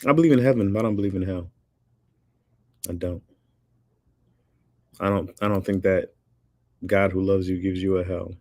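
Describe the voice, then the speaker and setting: A man's voice: sits low, fairly even intonation, normal speed, pauses often, a little mellow, dry recording, studio quality. A man hosting a livestream, chatting casually with his viewers in a rambling, laid-back way and laughing often. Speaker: livestream host reading chat. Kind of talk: casual chat.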